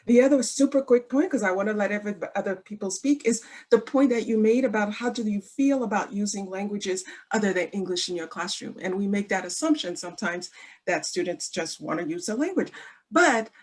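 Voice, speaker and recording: A woman's voice: medium pitch, lively intonation, fairly quick, a little mellow. A woman, a native Haitian Creole speaker, giving an effusive, chatty comment over a video call. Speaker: former third-grade teacher, meeting attendee.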